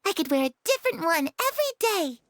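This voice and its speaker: An actress playing a gentle, wistful young woman in a game, soft and dreamy with a playful, teasing streak. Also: very high-pitched, sing-song, fast talker, noisy background. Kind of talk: game cutscene voice acting.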